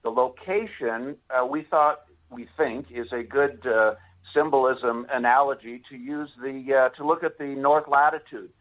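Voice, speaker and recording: Deliberate, oratorical American man in an interview. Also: medium pitch, fairly even intonation, a bit bright, low-quality recording. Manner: formal, deliberate, oratorical phrasing. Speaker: author and interview guest promoting a monument project. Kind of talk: earnest live interview talk.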